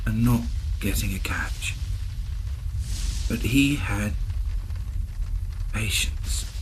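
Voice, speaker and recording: Man acting a very slow, solemn ghostly sea captain. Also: mid-range voice, a little hiss or hum, rough recording. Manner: slow, measured, solemn storytelling. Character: calm, mysterious old privateer captain offering cryptic help. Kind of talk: immersive horror audio drama.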